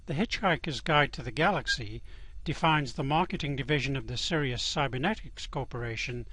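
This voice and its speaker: A man's voice: medium pitch, brisk, pushed and bright, no room sound, low-quality recording. A man playing the dry, matter-of-fact narrator of a guidebook in an amateur radio play. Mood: dryly informative.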